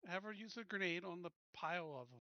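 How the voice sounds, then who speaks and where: A man's voice: sits high, expressive, fast, no room sound. A man playing a tabletop game, asking and chatting casually, recorded close and a little lo-fi. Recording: slightly dull, lo-fi voice chat.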